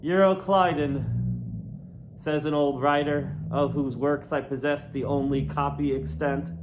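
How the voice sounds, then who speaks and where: Slow, theatrical male reader, higher-pitched voice. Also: fairly even intonation, noisy background. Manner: deliberate, dramatic, lingers on and repeats words. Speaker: audiobook reader. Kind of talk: literary narration, theatrical reading of a classic novel.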